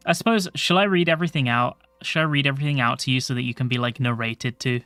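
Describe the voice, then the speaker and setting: A man streaming a game, chatting casually to his viewers in a soft voice, rambling and swearing offhandedly. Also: medium pitch, quick pace, dry recording, soft background music. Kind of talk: casual livestream chat.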